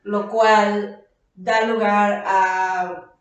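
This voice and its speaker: A woman's voice: mid-range voice, little pitch movement, very slow, distant mic, dull audio. A woman with a Mexican accent hosts a casual podcast chat, recorded in a very echoey room away from the mic. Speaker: podcast host.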